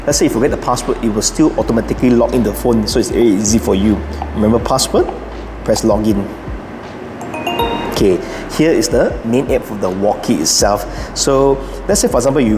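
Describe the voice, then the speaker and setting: A man hosts a casual, unscripted setup guide, talking himself through each step in short instructions. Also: medium pitch. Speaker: host of a car channel giving a setup guide.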